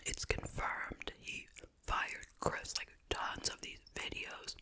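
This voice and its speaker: An ASMR host speaking in a breathy whisper, telling casual, gossipy stories with pauses in a chit chat style.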